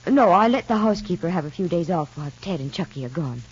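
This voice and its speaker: A husky-voiced, fearful mother in a 1950s radio soap opera. She speaks in a subdued, halting, earnest way. The old recording is dull and lo-fi. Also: on the low side, lively intonation. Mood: subdued, uneasy. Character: anxious young mother, tense and fearful.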